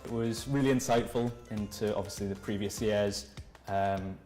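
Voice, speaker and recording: A young man from Northumberland, a graduate trainee, answering interview questions earnestly with measured pauses. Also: on the low side, steady tone, a little mellow. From Northumberland, England. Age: young adult. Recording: roomy sound with music behind.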